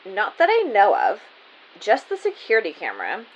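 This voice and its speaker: A woman's voice: medium pitch, huge pitch swings, moderate pace, highs cut off. A woman playing a flippant, easily panicked store worker in an audio drama. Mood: casual, offhand. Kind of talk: amateur audio drama acting.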